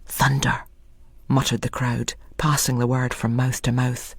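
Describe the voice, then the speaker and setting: Husky storyteller in a very dry recording. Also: medium pitch, level tone, normal speed, dry recording. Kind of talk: scripted dramatic story narration.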